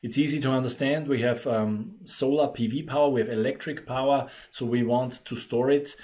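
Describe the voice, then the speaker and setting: A native German-speaking man with an Austrian accent, hosting a webinar and explaining things in a measured way. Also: medium pitch, fairly even intonation, darkish tone. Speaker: webinar host, company presenter. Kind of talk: webinar presentation.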